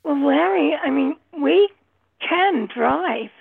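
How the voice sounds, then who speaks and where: Gravel-voiced female, husky and fairly high, casual conversation heard through a narrow telephone-style connection. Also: lively intonation, takes it slowly. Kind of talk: casual group chat. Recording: thin phone-like line.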